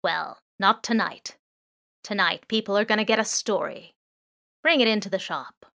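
A woman's voice: mid-range voice, vocal fry, a bit bright. A woman voicing a chatty, playful robot drone. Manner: chatty, musing, natural pauses. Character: loud talkative male surveillance drone, playful.

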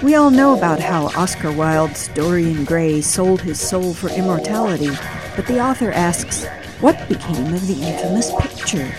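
Woman doing a scripted podcast intro, slightly low voice, music playing clearly underneath. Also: expressive, moderate pace. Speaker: podcast host introducing a story.

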